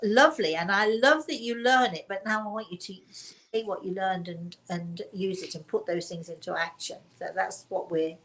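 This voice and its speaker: A woman's voice: medium pitch, normal speed, few pauses, a bit bright, rough recording. A woman hosting a spiritual show, chatting casually and emphatically with a guest and viewers, often repeating herself. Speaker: host of a spiritual story and question show.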